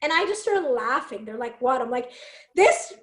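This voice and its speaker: Woman, from Canada, raspy, animated storyteller, high pitch, roomy echo. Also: moderate pace. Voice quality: raspy, deep speaking voice. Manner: animated, acts out quotes, laughs often. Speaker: podcast guest, singer and speaker. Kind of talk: casual storytelling chat.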